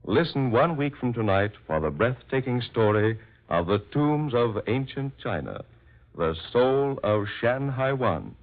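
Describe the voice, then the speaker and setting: A man's voice: medium pitch, very animated, a little slow. A man announcing formally in a declamatory, scripted 1940s radio style. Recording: muffled old radio recording.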